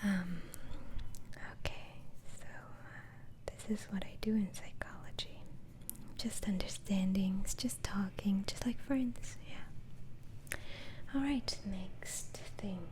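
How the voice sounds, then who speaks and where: A woman whispering softly and breathily in an ASMR role-play, gently asking halting questions as an interviewing doctor. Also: medium pitch, poor audio.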